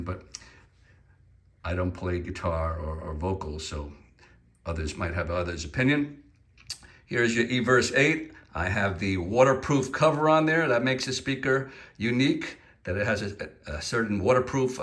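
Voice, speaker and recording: A man presents an unscripted gear review in a fairly low voice with a bright, forceful edge. He explains features informally and hands-on, offering his own opinions as he goes. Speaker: product reviewer presenting portable PA speakers.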